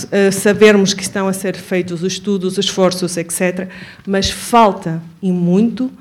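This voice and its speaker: Female audience member at a public forum, native Spanish speaker with a Venezuelan accent, medium pitch, earnest and wordy, formal delivery. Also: a little mellow. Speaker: audience member asking a question, administrative worker. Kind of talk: spoken question at a public forum.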